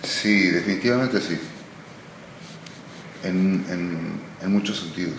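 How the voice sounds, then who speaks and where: A man in his sixties, born in Argentina, answering interview questions slowly and thoughtfully, often pausing and trailing off. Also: sits low. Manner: slow, thoughtful, trailing off with many pauses. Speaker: musician guest. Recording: echoey room with noise.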